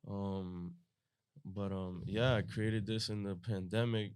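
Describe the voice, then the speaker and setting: A man's voice: lowish voice, steady tone, slow pace, clean tone. A man from Colombia whose first language is Spanish, talking as a podcast guest in a laid-back, slangy way. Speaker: guest, entrepreneur. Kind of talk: casual podcast talk.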